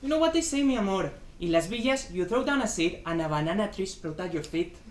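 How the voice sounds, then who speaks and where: A woman's voice: medium pitch, animated, quick pace, close to an everyday voice. A woman acting a proud, stubborn Cuban husband in a dramatic play reading, emphatic and domineering, with Spanish endearments mixed in. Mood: teasing, proud. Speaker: student actor. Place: indoor room, live play reading. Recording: echoey room with faint background noise.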